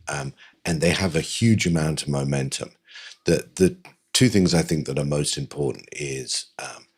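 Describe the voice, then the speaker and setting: A man giving his opinion as a panelist in a measured discussion. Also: extremely low pitch, husky.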